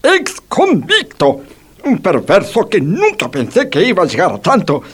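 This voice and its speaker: An actor playing a distraught, anguished psychiatrist in a comic radio play, with an Argentine accent and melodramatic delivery. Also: male voice, high-pitched, huge pitch swings, a little slow, breathy edge, heavily acted. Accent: Argentine, Rioplatense. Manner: melodramatic, wailing exclamations.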